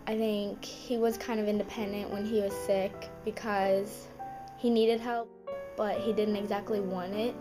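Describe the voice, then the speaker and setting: A teenage girl speaks simply and directly in a documentary interview. Her pitch is ordinary for her age, with clear music playing beneath her. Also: little pitch movement, a little slow.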